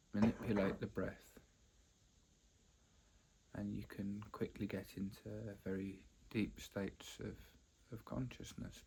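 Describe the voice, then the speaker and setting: A man teaching a guided meditation, speaking haltingly in short phrases with long pauses between them. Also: lowish voice, vocal fry, dark tone, a little hiss or hum, poor audio. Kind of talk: guided meditation, unscripted explanation.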